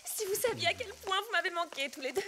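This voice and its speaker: Very shrill, excitable housekeeper. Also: female voice, fast, very noisy. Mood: delighted. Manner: exclaiming, fussy. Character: excitable, affectionate, scolding housekeeper and cook. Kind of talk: animated cartoon acting. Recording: loud music behind the voice.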